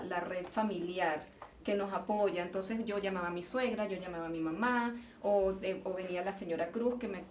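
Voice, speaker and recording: A Venezuelan woman, a geological engineer, answering interview questions in a thoughtful way, often restarting and repeating words. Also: mid-range voice. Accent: Venezuelan Spanish. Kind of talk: conversational interview. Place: event venue, indoors. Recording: roomy, distant mic, faint noise.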